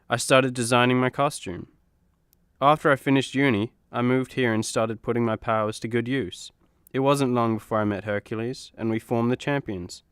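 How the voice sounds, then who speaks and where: A man's voice: mid-range voice, clear, resonant tone, no room sound, clean studio sound, close to an everyday voice. A man playing an earnest, slightly hesitant superhero team leader in a comedy radio play. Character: earnest, awkward male superhero, mild-mannered team leader.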